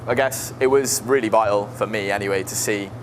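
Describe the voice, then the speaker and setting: A man's voice: lowish voice, steady tone, a little fast, music in the background. A young man being interviewed outdoors on a city street, fondly and a little hesitantly recalling gigs. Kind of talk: interview answer. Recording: outdoor street noise and traffic.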